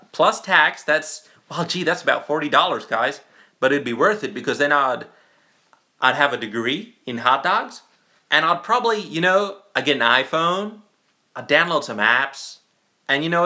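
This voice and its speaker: Parody American guy played by a man: young, naive, cheerful, bright tone, rambles happily in a broad comic accent. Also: fairly high pitch. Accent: put-on American.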